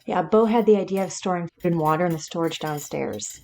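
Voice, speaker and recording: A woman's voice: fairly low pitch, fairly quick, gravelly, a little mellow. An actress playing a stubborn, blunt middle-aged wife and mother in an audio drama. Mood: earnest.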